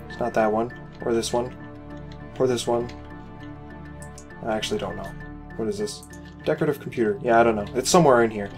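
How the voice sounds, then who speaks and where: A man's voice: medium pitch, a little fast, long pauses, music in the background. A tired man who is losing his voice, giving casual, rambling gameplay commentary as he critiques a player-made space station, critical but encouraging. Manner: rambling, with frequent "you know" and "uh" fillers. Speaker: game station reviewer.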